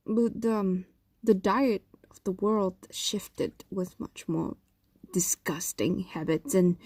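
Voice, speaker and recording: A woman's voice: medium pitch, dark tone, no echo. A woman voicing an ancient, doting and possessive fox demon in an intimate audio roleplay, coaxing and explaining with hesitations and whispers. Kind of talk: intimate audio roleplay acting, addressed to a lover.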